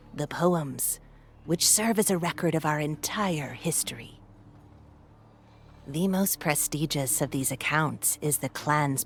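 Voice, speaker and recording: An actress playing a solemn, learned head of a clan of chroniclers in a video game, speaking in a measured, formal storytelling way. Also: female voice, sits low, slight background noise. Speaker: clan historian and leader. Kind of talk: video game cutscene acting.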